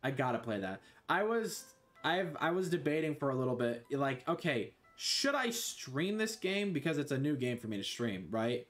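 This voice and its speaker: A man's voice: medium pitch, very animated, normal speed, hardly any pauses, fairly bright tone, soft background music. A young man streaming a video game from his bedroom on a headset mic, rambling, scattered and swearing a lot. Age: young adult. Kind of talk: casual gameplay commentary.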